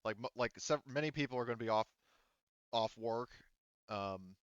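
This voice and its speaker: A man's voice: medium pitch, normal speed, clear, resonant tone, dry recording. A man improvising casual, loosely phrased narration as game master of a tabletop roleplaying game, on slightly dull audio.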